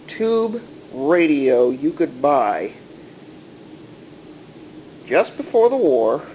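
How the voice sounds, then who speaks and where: Man giving a demonstration, clearly noisy recording. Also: sits high, darkish tone. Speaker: collector showing a vintage radio. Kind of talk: casual hands-on show-and-tell narration.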